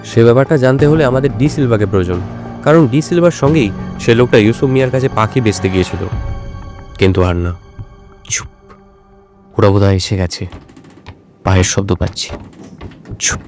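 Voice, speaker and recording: A male actor playing a shrewd, self-assured detective in an audio drama, speaking in a measured, explanatory way. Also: on the low side, music in the background, lightly acted. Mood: assured, firm, then hushed urgency. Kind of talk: Bengali audio drama dialogue.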